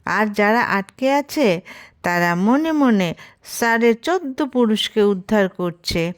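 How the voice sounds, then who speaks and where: Slow, clear-voiced woman storyteller. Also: mid-range voice, lively intonation. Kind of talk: scripted narration of a literary short story.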